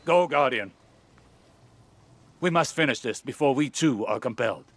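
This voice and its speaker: An actor playing a grave, haunted older mentor in a sci-fi game, formal and portentous. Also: male voice, mid-range voice, animated, moderate pace, close to an everyday voice. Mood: foreboding, urgent. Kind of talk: scripted sci-fi game dialogue.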